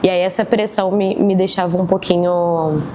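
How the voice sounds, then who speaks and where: A woman's voice: on the low side, fairly even intonation, normal speed, mellow, a little hiss or hum. Thoughtful woman in her twenties. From Rio de Janeiro. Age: 20s. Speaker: interviewee. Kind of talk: documentary interview.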